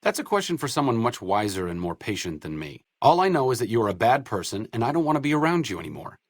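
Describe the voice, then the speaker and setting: An actor playing a sardonic, principled doctor and researcher in a post-apocalyptic video game, speaking in a dry, articulate, wry way. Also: male voice, medium pitch, very animated, quick pace, dry recording. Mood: scornful.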